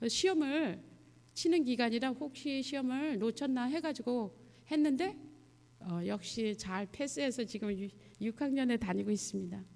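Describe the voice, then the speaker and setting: A woman missionary giving a testimony sermon to a congregation, speaking in a conversational, halting way and putting questions to her listeners. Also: sits high, darkish tone. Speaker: missionary guest preacher. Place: church sanctuary, addressing a congregation. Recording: long hall reverb, church sound system.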